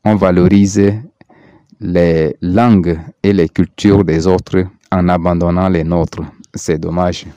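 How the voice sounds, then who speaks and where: A man from Chad reading a prepared statement in a measured, earnest way. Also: deep voice, level tone, mellow, smooth, no echo, lo-fi sound.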